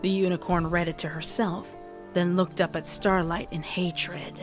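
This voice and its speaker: A woman narrates a story in a dramatic, scripted style. Her voice is on the low side, and music plays clearly behind her. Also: flat delivery, measured pace. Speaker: narrator of a fan fiction reading.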